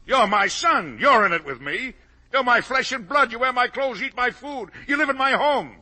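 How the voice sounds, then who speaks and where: A man's voice: very high voice, animated, moderate pace, sharp tone, full character voice. A man acting in a 1950 radio drama as a middle-aged factory-owning father, folksy, blustering and defensive. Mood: angry, defensive. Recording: raspy old radio broadcast recording.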